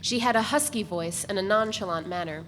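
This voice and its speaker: A woman reading literary narration aloud at a steady, measured pace. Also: mid-range voice, big-room sound, slight background noise.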